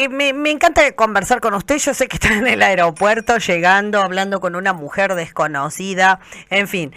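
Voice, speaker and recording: A woman hosts a radio interview, posing friendly and direct questions sprinkled with small fillers. Her voice is bright and forceful, and the sound is dry and close. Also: mid-range voice, no room sound. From southern Argentina, Andes border area. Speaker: radio host.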